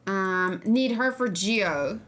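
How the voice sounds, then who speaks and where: A woman's voice: mid-range voice, animated, takes it slowly, fairly bright tone. A woman streaming casual game reaction and discussion, thinking aloud and giving her opinions to viewers. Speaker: gaming streamer.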